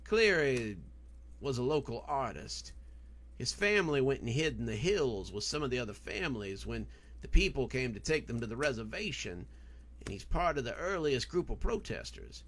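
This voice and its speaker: A man voicing an earnest, cautious man gravely explaining a problem in an audio story. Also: mid-range voice, big pitch swings, normal speed, a bit bright. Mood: explanatory.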